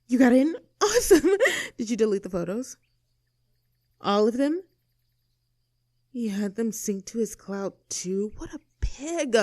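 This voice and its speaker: Woman acting a standoffish young mean girl, creaky voice. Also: mid-range voice, warm tone, no echo, studio quality. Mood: excited. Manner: casual, teasing, blunt. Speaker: voice actor. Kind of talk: scripted audio roleplay, naturalistic one-sided dialogue.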